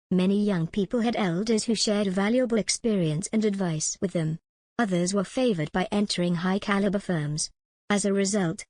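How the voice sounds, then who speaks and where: A woman's voice: medium pitch, darkish tone, no echo, studio quality. Woman reading a passage aloud. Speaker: sample exam reader.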